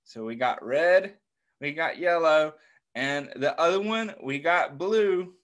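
A middle-aged American man reads a picture book to small children. His voice sits a little high for a man and moves very slowly. He keeps putting questions to his listeners and encourages them with praise. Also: a bit bright. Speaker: children's story time host. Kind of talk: interactive picture book read-aloud for young children. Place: indoors on a video call.

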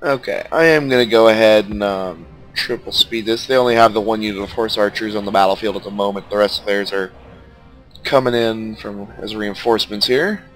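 A stuffed-up, nasal man chats through his strategy game in a laid-back, thinking-aloud way. His voice sits fairly low, and soft game music plays faintly behind him. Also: a little fast, poor audio. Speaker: let's play commentator. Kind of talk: casual gameplay commentary.

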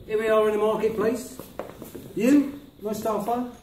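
A man's voice: sits high, steady tone, measured pace, a little mellow, slight background noise. A man playing a bossy, exasperated robber chief in an amateur stage pantomime. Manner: bossy, theatrical. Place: village hall stage floor, far camera. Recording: distant echoey village hall stage recording.

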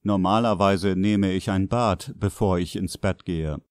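A man's voice: sits low, unhurried, dry recording, studio quality. A man reading short scripted sentences as the narrator of a language lesson. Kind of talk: scripted sentence translations read out.

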